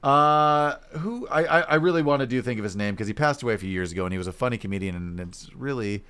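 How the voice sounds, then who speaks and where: Animated man chatting on a podcast. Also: mid-range voice, quick pace, clean tone. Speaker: podcast co-host. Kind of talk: casual podcast chat.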